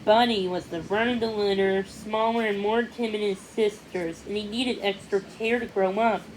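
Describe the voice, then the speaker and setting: A woman reading a children's storybook aloud as narrator, somewhat haltingly, sometimes repeating or correcting a word. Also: mid-range voice, steady tone, slight background noise, poor audio. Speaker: read-along narrator.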